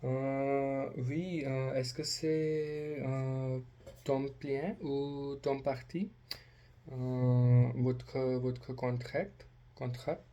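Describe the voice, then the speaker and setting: A man with a non-native accent practising a polite role-play inquiry as a language learner, speaking haltingly with many hesitations. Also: medium pitch, flat delivery, warm tone. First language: not French.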